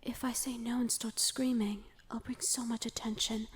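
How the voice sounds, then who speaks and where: A woman's voice: on the high side, flat delivery, normal speed, slightly rough. A woman playing a proper, anxious young princess in a romantic ASMR roleplay, speaking in a genteel, hesitant way. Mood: worried, secretive.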